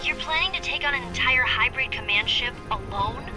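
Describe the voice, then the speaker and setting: Music plays clearly behind a woman acting a smart teenage girl from an action cartoon. Her voice is fairly high, and she sounds earnest and cautious, the team's explainer. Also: fairly quick, in a large hall, close to an everyday voice. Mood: concerned. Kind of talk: animated action cartoon game dialogue.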